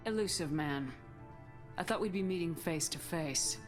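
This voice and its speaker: A woman's voice: lowish voice, normal speed, close to an everyday voice. An actress playing a tough, guarded female military commander in a video game, speaking bluntly and firmly. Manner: blunt, firm, questioning. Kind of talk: video game cutscene acting. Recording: music behind the voice.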